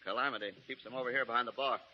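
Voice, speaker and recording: A man's voice: medium pitch, steady tone, rapid-fire, natural voice. An actor playing a cowardly, jittery frontier henchman in a 1930s radio drama, stammering nervously. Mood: nervous, evasive. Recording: muffled 1930s radio broadcast.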